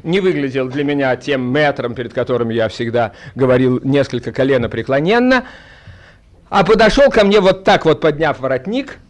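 A man speaking to an audience in an anecdotal, theatrical way, with halting, digressive phrasing. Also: medium pitch. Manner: theatrical storytelling, acting out dialogue. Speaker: theatre colleague giving a reminiscence.

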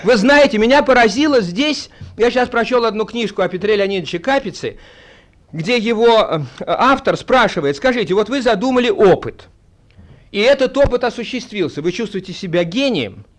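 A man speaking to an audience in an anecdotal, theatrical way, with halting, digressive phrasing. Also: high-pitched, expressive. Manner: theatrical storytelling, acting out dialogue. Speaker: theatre colleague giving a reminiscence.

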